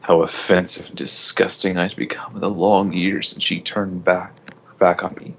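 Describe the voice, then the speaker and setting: A man's voice: low voice, little pitch movement, moderate pace, vocal fry, slight background noise. A man giving an amateur, melodramatic reading of story narration, stumbling over words now and then. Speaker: fan fiction reader. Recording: dull lo-fi home recording.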